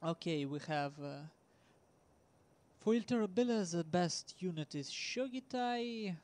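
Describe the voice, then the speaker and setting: A man with a Russian accent casually commentating a strategy game match, talking haltingly with many ums and uhs and small laughs. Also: high-pitched, lively intonation, a little mellow. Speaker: esports commentator.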